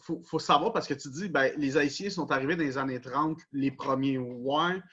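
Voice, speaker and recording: A man's voice: mid-range voice, moderate pace, a bit bright, slightly rough. A man with a Québécois French accent hosting a podcast, explaining at length and leaning on repeated stock phrases. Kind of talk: casual discussion. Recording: dull lo-fi recording.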